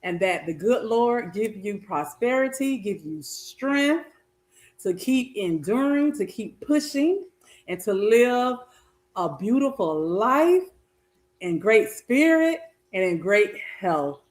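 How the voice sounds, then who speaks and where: A middle-aged woman chatting casually as she cooks, her voice slightly raspy as it recovers. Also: medium pitch, very animated, takes it slowly, roomy sound. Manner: chatty, warm greetings and blessings to viewers. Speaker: health coach and vlogger. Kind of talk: casual cooking vlog chat. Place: home kitchen.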